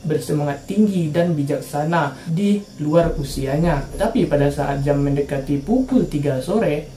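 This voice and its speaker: A woman's voice: low-pitched, unhurried, dark tone, reverberant, a little hiss or hum. A woman from Indonesia telling true-crime stories for her channel in a casual, slangy narrating style.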